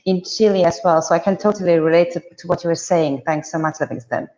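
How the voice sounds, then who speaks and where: A woman's voice: fairly low pitch, steady tone, fast, mellow, a little hiss or hum. Woman, from Austria, host, upbeat and welcoming, roomy echo, dull lo-fi call audio. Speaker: live-stream host. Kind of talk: hosting a live discussion.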